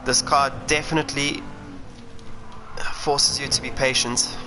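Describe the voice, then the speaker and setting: A man's voice: mid-range voice, little pitch movement, unhurried. Chatty South African man over engine noise. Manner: chatty, thinking aloud while driving. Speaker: racing driver streaming sim racing. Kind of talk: live gameplay commentary. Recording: game engine noise underneath. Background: racing game car sounds.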